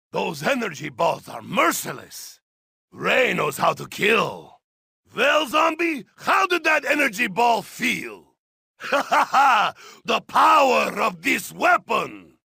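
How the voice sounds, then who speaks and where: An actor with a Russian accent playing a boisterous, crude, drunk Cossack zombie-slayer in a video game, shouting in broken English. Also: male voice, high voice, wildly swooping pitch, slow, vocal fry, husky, no room sound, clean studio sound, exaggerated voice. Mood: boastful crude. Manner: shouting gleefully, broken English without articles. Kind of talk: video game character voice lines.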